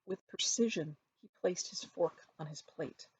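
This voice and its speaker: A woman reading her novel aloud as expressive storybook narration, with dramatic, emphatic phrasing. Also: lowish voice, a little slow, slightly rough, dry recording, low-quality recording. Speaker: author reading from their own novel.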